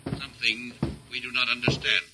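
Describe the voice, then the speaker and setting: An actor playing a logical, uncomprehending alien zoo keeper in a stiff, formal, literal way, in 1950s radio science fiction style. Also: male voice, medium pitch, level tone, moderate pace, natural voice. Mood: puzzled. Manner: stiff, formal, literal-minded speech without contractions. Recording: old radio recording with noise.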